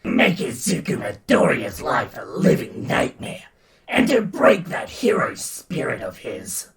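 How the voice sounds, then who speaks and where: A man voicing a cruel red alien symbiote villain, snarling and taunting with drawn-out theatrical menace. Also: medium pitch, sing-song, vocal fry, crowd noise, heavily acted. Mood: sinister gloating.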